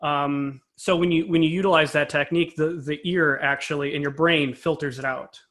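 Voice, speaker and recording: A man with a fairly high voice speaks as an interview guest. He explains technical matters informally and analytically, taking care over exact wording. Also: steady tone, moderate pace, a bit bright. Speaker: interview guest, composer and conductor.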